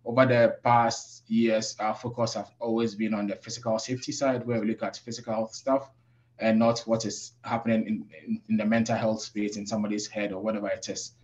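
A man from Africa who works as a safety professional, speaking on a webinar panel in a measured, reflective way with many pauses. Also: lowish voice, level tone, lo-fi sound. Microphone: video call.

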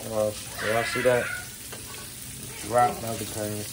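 A man's voice: on the low side, flat delivery, slow pace. A young man chatting casually to his viewers while cooking, joking and slangy, filmed on a handheld phone in a small apartment kitchen. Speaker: vlogger. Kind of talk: casual cooking vlog chat. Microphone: handheld phone camera. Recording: noisy kitchen with frying sizzle.

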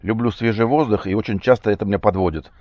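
A man with a slightly hoarse, cold-affected voice giving a casual, halting, off-the-cuff vlog monologue. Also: lowish voice, dry recording. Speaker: vlogger. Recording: dull, muffled lo-fi recording.

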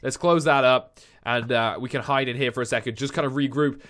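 A young man with a nasal, stuffy voice from a cold, giving excitable Minecraft commentary on a headset at home. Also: medium pitch, a little fast, noisy background. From England. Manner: excitable, yelps and shouts when chased. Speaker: gaming YouTuber. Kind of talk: Minecraft let's play commentary. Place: home room.

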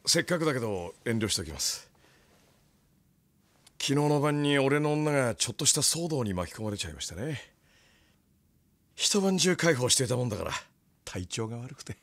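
A man's voice: mid-range voice, very animated, normal speed, pauses often, fairly bright tone, no room sound. Casual polite swordsman in a game, voice moves up and down a lot, dry close sound. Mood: offhand. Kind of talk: naturalistic video game acting.

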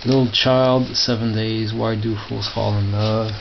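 A middle-aged man giving casual, halting commentary as he reads out titles and mutters asides. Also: flat delivery, gravelly. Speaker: record collector showing his collection. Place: small tiled indoor room by storage crates. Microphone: handheld camera held by the speaker. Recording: muffled, noisy low-grade camera audio with clicks.

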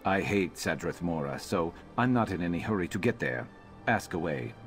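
A very deep-voiced, brooding beast-folk mercenary, speaking in terse deadpan lines like a guarded fantasy game companion. His delivery is flat and slightly robotic, with music playing behind him. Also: moderate pace. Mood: dry, bitter. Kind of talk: scripted fantasy video game dialogue.

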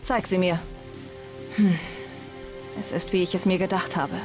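A woman voicing an earnest warrior in a video game, with a formal, deliberate way of speaking. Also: on the low side, fairly even intonation, over music, close to an everyday voice. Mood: matter-of-fact. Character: earnest, formal warrior woman, logical but newly emotional. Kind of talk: video game dialogue acting.

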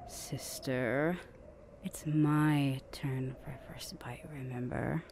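A woman playing a dominant older vampire sister in an ASMR roleplay, breathy and languid with dry teasing. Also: a bass voice, fairly even intonation, extremely slow, a little mellow, a little hiss or hum, full character voice. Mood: sultry, commanding.

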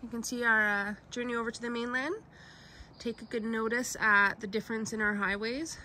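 A woman's voice: a little high, fairly even intonation, brisk, sharp tone, slight background noise. A woman from Canada narrating her vlog in a friendly, chatty way, speaking straight to her viewers. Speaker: vlogger.

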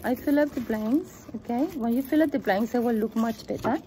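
Woman with accent, dark-toned patient craft teacher. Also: a little high, fairly quick, a little hiss or hum, poor audio. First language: not English. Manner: patient, encouraging, repeats herself. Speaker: children's craft leader. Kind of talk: step-by-step craft lesson for kids. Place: indoor room with table, at a desk.

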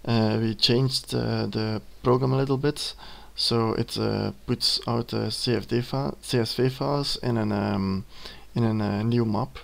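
A young man in his twenties, a native Dutch speaker with a Dutch accent, hesitantly talking through a screen-recorded software tutorial, with many ums and self-corrections. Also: mid-range voice, steady tone, unhurried, vocal fry, few pauses. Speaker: student giving a tutorial. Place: at a computer, indoors.

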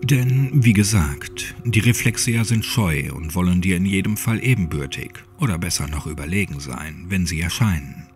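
A man's voice: low voice, expressive, moderate pace, hardly any pauses. A man narrating a scripted horror story to the listener in a dramatic, suspenseful way, sometimes dropping toward a whisper. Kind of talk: scripted creepypasta audiobook narration, addressing the listener. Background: music under the voice.

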